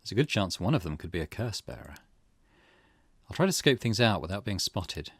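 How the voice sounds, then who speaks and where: A man's voice: low voice, very animated, brisk, husky, no echo, heavily acted. A man voicing a wary, reserved young protagonist of a mystery game, hesitant and understated. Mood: pensive.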